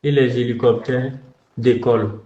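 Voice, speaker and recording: A man's voice: mid-range voice, steady tone, a little slow, warm tone. A man with a West African French accent talks in a private phone conversation, hesitantly, often repeating his words. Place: indoors, in a bedroom on a phone call. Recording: echoey, dull phone call recording.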